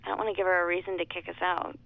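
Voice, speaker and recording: A woman's voice: fairly low pitch, level tone, rapid-fire, vocal fry. Determined woman on a tinny phone. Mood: brisk, planning. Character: matter-of-fact, determined young woman investigator on the phone. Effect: telephone filter. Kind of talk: naturalistic audio fiction acting. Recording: tinny, dry phone-line sound.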